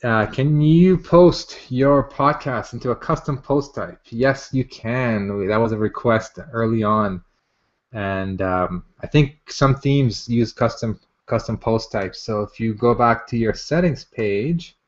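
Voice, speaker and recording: A man with a medium-pitched voice hosts a live online software demo. He talks casually and enthusiastically, addressing viewers in a chatty, informal way. The audio is dull and somewhat muffled, like a lo-fi webinar feed. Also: takes it slowly.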